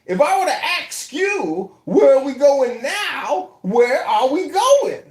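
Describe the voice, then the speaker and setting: A man's voice: very high-pitched, very animated, slow pace, echoey room. A man hosting a livestream, heckling in a group voice chat, rambling in a mock-philosophical way and repeating himself. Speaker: livestream host.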